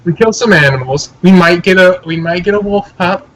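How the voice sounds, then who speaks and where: A man's voice: high voice, brisk, fairly bright tone, dry recording. A man chatting casually as a player in a tabletop game, on a slightly noisy mic with clicks. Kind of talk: casual chat.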